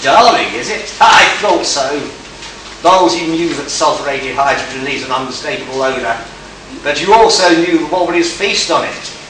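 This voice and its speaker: A man playing a stern, shrewd detective with deliberate, declamatory delivery in an amateur radio play, recorded from a distance in an echoey, noisy space. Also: on the high side, expressive, natural voice. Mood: sardonic, accusing. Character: stern, shrewd male detective accusing murder suspects.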